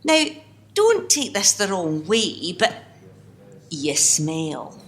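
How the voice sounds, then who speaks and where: A woman playing a feisty, sceptical but kind-hearted working woman in an amateur radio play, brisk and incredulous in manner. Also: fairly low pitch, big pitch swings, exaggerated voice. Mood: scolding.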